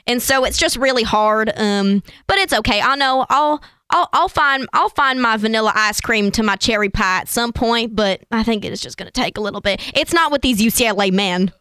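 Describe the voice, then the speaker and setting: Playful, dramatic country sweetheart, acted by a young woman, Southern US drawl, highish pitch. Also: bright, forceful tone, clear, resonant tone, no room sound, clean studio sound. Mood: wistful, sassy. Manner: drawn-out twang.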